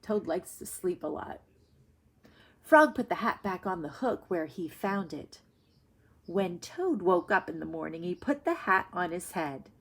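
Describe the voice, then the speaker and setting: A woman teacher reading aloud to young children and explaining her thinking in a deliberate way. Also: lowish voice, sing-song, a bit bright, husky. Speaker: primary school reading teacher.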